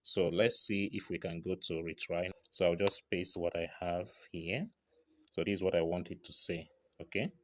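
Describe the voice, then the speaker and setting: A man's voice: sits low, steady tone, moderate pace, bright, forceful tone. A man narrating a casual step-by-step screen tutorial, often checking in with short tags like right and okay. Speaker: tutorial presenter.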